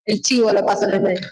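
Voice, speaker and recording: An Argentine woman giving an impassioned political talk, with emphatic repetition and rhetorical questions. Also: medium pitch. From Mendoza, Argentina. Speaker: book presenter, political speaker. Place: indoor public book presentation. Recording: roomy event hall, distant microphone.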